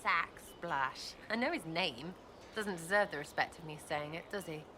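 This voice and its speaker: A woman's voice: deep voice, moderate pace, natural voice. Woman acting a brash British rebel girl, noisy background. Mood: flippant. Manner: cheeky, blunt, slangy, choppy phrasing. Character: brash, irreverent, streetwise young female rebel troublemaker. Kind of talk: video game dialogue acting. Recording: clearly noisy ambient background.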